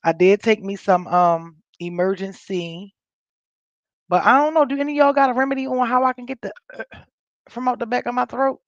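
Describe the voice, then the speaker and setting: An American woman with a raspy, scratchy voice from a coming cold, hosting a live stream in casual, rambling, unfiltered chat with her viewers. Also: on the high side, no room sound, highs cut off. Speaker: livestream host. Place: indoors at home, in an office.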